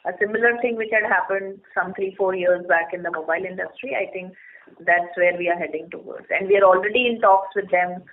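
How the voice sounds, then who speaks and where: A woman's voice: on the low side, a little fast. A woman company chief answering business questions in an explanatory way, point by point, on a conference call. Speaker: company managing director on an earnings call. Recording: narrow muffled phone conference line.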